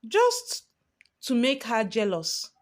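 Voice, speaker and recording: Woman acting a high-voiced, domineering schoolgirl bully. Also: wildly swooping pitch, slow, full character voice. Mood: sly, coaxing. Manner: barking, commanding, turning sickly sweet. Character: bullying teenage girl senior prefect, domineering, sly and taunting.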